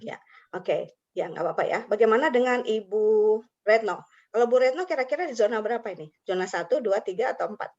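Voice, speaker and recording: A woman's voice: a little high, lively intonation, fast talker, a little mellow. A woman hosting an online teacher webinar, chatty and friendly, calling on participants by name. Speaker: webinar host and teacher trainer. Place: video call. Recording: dull lo-fi video call audio.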